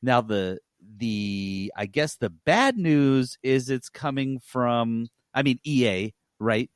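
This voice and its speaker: A man's voice: medium pitch, lively intonation, takes it slowly, clean tone, no echo, clean studio sound. A man hosting a casual gaming news podcast, talking in a blunt, opinionated way.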